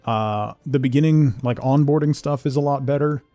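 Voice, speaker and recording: A man's voice: mid-range voice, moderate pace, dark tone, no echo, faint music behind. A middle-aged man streaming a game, chatting casually with viewers and muttering to himself in between. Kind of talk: casual chat while playing a game, replying to viewers.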